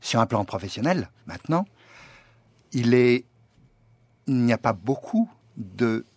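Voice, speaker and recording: A man's voice: mid-range voice, animated, unhurried, breathy edge, no echo. A man in his sixties asking long, formal, winding questions, courteous and hesitant, with many fillers. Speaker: guest questioning a filmmaker.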